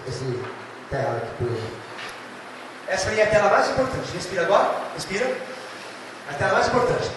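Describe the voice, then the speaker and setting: A man from Brazil with a São Paulo accent, giving a lively, theatrical literature lecture and addressing students directly. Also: high-pitched. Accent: São Paulo state Brazilian Portuguese. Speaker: literature teacher. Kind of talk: animated exam-prep lecture. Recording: echoey hall, far from mic, noisy.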